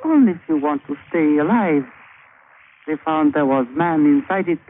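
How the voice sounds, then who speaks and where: A man's voice: on the high side, animated, narrow, tinny audio, close to an everyday voice. An actor playing a sly, jovial, scheming island witch doctor who teases in broken English in 1950s radio drama style. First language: a language of Papua. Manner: broken English, teasing, unhurried. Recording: thin, hissy old radio broadcast.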